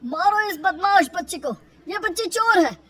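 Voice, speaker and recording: A woman's voice: very high-pitched, expressive, normal speed, bright, forceful tone, voice pushed up, full character voice. A woman voicing a harsh, bullying, self-righteous villager in a moral-story cartoon. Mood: angry.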